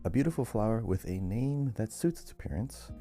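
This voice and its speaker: A man co-hosting a casual gaming quiz podcast, reading questions out in a measured, deliberate way. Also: fairly low pitch, lively intonation, dark tone, soft background music. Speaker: podcast co-host and quiz reader. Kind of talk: casual video game lore quiz podcast.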